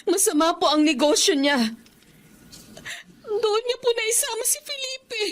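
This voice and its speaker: Middle-aged Filipino wife and mother, very high voice, worried and pleading, radio-drama delivery. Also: animated, measured pace.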